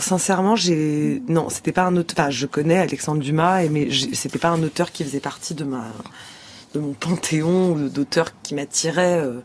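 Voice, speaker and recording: A woman's voice: low voice, slight background noise. A young actress talking thoughtfully in a radio studio interview, searching for her words as she goes.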